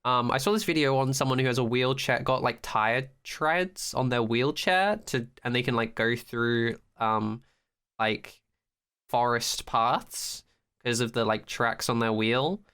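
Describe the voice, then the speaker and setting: A man with a bright, clean voice chats casually as a podcast co-host. He is opinionated and animated, swearing freely as he makes his points. His tone is clear and forward. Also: mid-range voice, unhurried. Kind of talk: casual pop-culture discussion.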